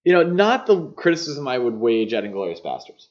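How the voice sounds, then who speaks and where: A man's voice: medium pitch, big pitch swings, brisk, lo-fi sound. A man hosting a podcast, chatting casually about film in a conversational, opinionated way. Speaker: podcast host.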